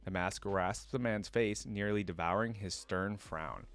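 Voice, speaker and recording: A man's voice: on the low side, unhurried, fairly bright tone. A man hosting a reaction video, reading lore aloud and adding informal, casual fan commentary.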